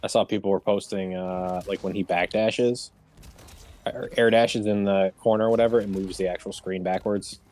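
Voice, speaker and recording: Slightly low-voiced man in casual gaming chat. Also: fairly even intonation, normal speed, darkish tone. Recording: music playing underneath. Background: music.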